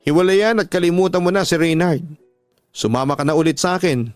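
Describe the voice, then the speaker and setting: Man playing a remorseful husband, earnest and pleading, fairly high voice, dry close sound, soft music underneath. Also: brisk, no echo, lightly acted. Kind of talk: radio drama acting.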